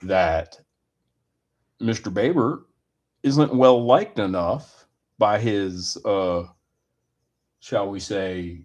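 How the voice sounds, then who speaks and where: Man, low voice, very slow pace, deliberate halting delivery, wordy formal phrasing, opinion monologue. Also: a little mellow. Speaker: commentator giving his personal opinion.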